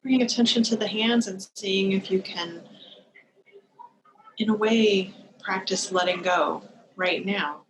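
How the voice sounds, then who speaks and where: A woman leading a guided meditation as a teacher, speaking in a calm, gentle, unhurried manner with long pauses, over a video call. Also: medium pitch. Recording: noisy live video-call recording.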